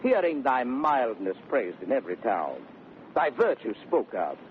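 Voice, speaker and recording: Swaggering suitor, vintage radio Shakespeare: lively mock-sweet declamation, faint noise on the recording. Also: male voice, medium pitch, a little slow. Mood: teasing, wooing. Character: brash, swaggering, teasing gentleman wooer. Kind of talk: 1930s radio Shakespeare acting. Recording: tinny, noisy 1930s radio recording.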